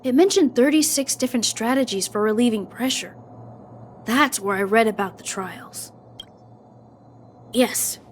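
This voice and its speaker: Game casting: woman as anxious, loyal boy guard, high-pitched, polite and hesitant, light music behind. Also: unhurried, pauses often, natural voice. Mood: apologetic, subdued. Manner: hesitant, formal, deferential. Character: dutiful, polite young male guard, anxious and deferential. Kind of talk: anime-style video game voice acting.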